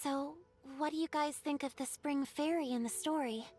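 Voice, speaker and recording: A gentle young girl from a game, acted by a woman. The voice is high, polite and a little hesitant. Music plays softly behind it. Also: fairly even intonation, moderate pace, lightly acted. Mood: tentative. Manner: polite, tentative. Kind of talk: video game voice acting.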